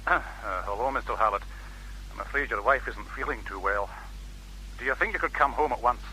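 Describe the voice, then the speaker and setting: A man's voice: mid-range voice, fairly quick, sharp tone, slight background noise, poor audio. An actor playing a hesitant, courteous policeman in a radio drama, cautious and apologetic in manner. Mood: cautious, tactful. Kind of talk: British radio drama acting.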